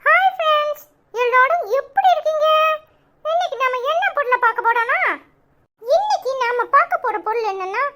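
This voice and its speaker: A woman voicing a chirpy little cartoon child who presents products in a scripted promotion, with a pitched-up voice. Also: lively intonation, moderate pace, sharp tone, slight background noise, heavily acted.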